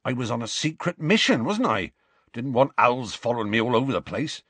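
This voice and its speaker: A huge, grumpy bearded half-giant from a storybook. He speaks gruffly in rustic, muttering phrases, with a husky edge and big swings in pitch, recorded very dry. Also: very animated, a little fast, fairly bright tone, no room sound.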